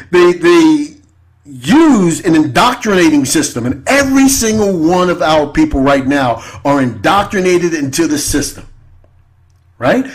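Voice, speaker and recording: A man hosting an internet radio show, giving an unscripted political monologue with repeated rhetorical questions. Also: low-quality recording. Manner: repetitive, rhetorical "right?" tags, sweeping pitch.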